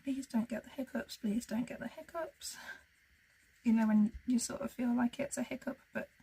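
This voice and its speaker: A woman with a fairly high, gravelly voice talks through her colouring in a relaxed, casual way. She muses to herself as she works, often sinking into a near whisper, and her tone stays husky throughout. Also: normal speed, poor audio. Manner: soft-spoken, musing, often drops to a whisper. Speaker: colouring channel host. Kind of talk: casual narration while colouring.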